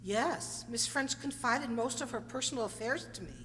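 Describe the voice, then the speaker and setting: Woman acting a high-voiced, resentful older maid. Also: moderate pace, a bit bright, lightly acted. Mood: indignant. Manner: emphatic, disapproving. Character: resentful older female maid and companion, indignant. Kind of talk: radio drama acting. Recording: noisy, reverberant hall recording.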